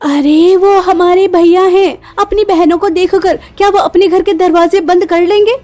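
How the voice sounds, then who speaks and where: A scheming, ungrateful young sister from a cartoon village tale, voiced by a woman. Her voice sits very high with a soft edge, and she sounds dismissive as she persuades. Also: steady tone, normal speed. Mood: urging. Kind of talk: Hindi cartoon story voice acting.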